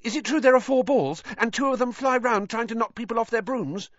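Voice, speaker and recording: Starstruck chatterbox schoolboy for an audiobook: high, breathless, very animated, slightly dull dry sound. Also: male voice, no room sound. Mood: eager, excited. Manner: breathless, gushing, rapid questioning.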